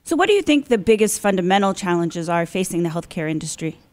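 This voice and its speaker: A woman's voice: fairly low pitch, very animated, fast, a little mellow. A woman hosting a podcast interview, delivering prepared introductions and questions. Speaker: podcast host.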